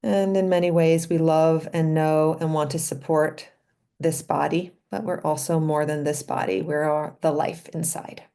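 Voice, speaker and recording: A woman leading a guided meditation in a gentle, unhurried manner, with pauses between short phrases. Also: low voice, level tone, vocal fry. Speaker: meditation leader and physician.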